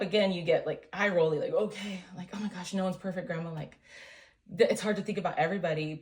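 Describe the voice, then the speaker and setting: A woman, a small business owner, speaking as a guest in a casual interview, earnest and full of ums and likes. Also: lowish voice. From United States. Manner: earnest, warm storytelling with many fillers.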